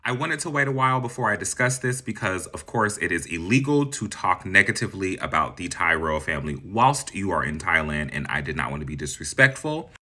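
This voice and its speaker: A man's voice: low-pitched, sing-song, a little fast, hardly any pauses, sharp tone. A man in his thirties casually explaining facts in a vlog, chatty and dramatic, with gossipy asides. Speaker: video creator. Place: green-screen setup, indoors.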